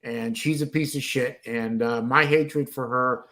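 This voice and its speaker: A man's voice: mid-range voice, fairly even intonation, a little slow, pushed and bright. A middle-aged man from Florida hosting a casual livestream chat, blunt and rambling, swearing freely. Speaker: livestream host.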